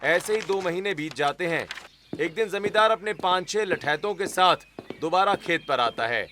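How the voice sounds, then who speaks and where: High, bright-voiced male story narrator. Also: animated, moderate pace, a little hiss or hum.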